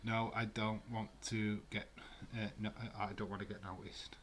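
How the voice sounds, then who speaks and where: A man's voice: fairly low pitch, little pitch movement, moderate pace, slight background noise. Chatty Glaswegian man streaming live. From Scotland. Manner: rambling and chatty, with frequent fillers and restarts. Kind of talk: casual watch-along chat, reading out viewer comments. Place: room at home.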